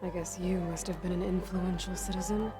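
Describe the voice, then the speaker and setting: An actress playing a practical young game heroine, direct and questioning, with little patience for fine things. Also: female voice, on the low side, fairly even intonation, fairly quick, music in the background, natural voice. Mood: skeptical. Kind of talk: video game dialogue.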